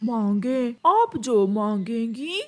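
Woman as magical cartoon pumpkin, earnest, pleading, high-pitched, very slow delivery. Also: big pitch swings, darkish tone. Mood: earnest, reassuring. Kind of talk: Hindi children's cartoon story acting.

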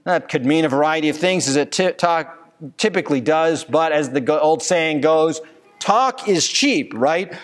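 A man in his thirties, a pastor preaching a sermon at a church pulpit, earnest and given to rhetorical questions. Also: a little high. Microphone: pulpit microphone.

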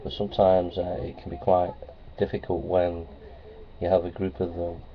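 A man's voice: low-pitched, monotone, normal speed, crowd noise. A middle-aged man talking plainly into a webcam in a small office, with lots of ums and repeated phrases. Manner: plainspoken, repetitive, many ums and uhs. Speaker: vlogger. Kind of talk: casual webcam vlog. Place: small office with framed certificates on the wall. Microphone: webcam at close range. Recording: dull lo-fi webcam audio with faint hiss.

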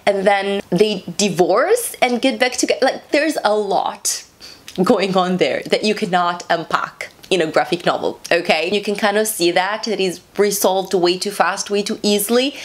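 Chatty young woman reviewing books. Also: mid-range voice. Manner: chatty and expressive, talks with her hands. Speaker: book reviewer. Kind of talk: casual book review vlog. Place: small room with a plain wall.

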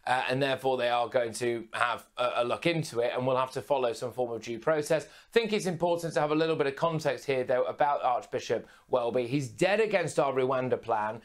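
A young man giving brisk, emphatic news commentary as a guest presenter from a remote studio. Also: medium pitch. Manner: brisk, emphatic, punchy phrasing. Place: remote studio with city view.

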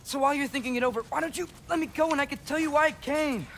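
Earnest high-voiced teenage boy demigod. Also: fast, a bit bright, a little hiss or hum. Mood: earnest, persuasive. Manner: earnest, pleading. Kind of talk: video game cutscene acting.

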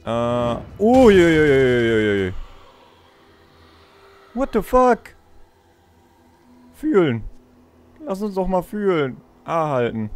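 A man's voice: a little high, big pitch swings, extremely slow, pauses often, a little mellow, clean tone. A young man giving casual let's play commentary, chatting and thinking aloud as he goes. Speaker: let's player.

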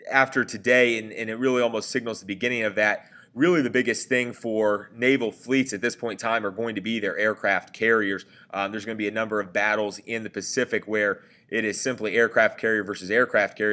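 A man's voice: fairly low pitch, steady tone, a little fast, pushed and bright. A man giving a recorded history lecture over slides, talking conversationally with frequent fillers and self-corrections. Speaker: teacher.

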